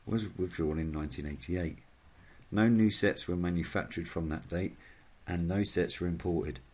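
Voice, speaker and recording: A man with a husky voice reading scripted informational narration in a formal manner, as a video presenter. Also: sits low, darkish tone.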